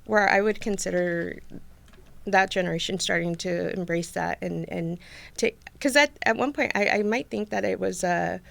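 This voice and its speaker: A woman guest in a conversational interview, talking thoughtfully with many halting restarts. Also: fairly low pitch, gravelly.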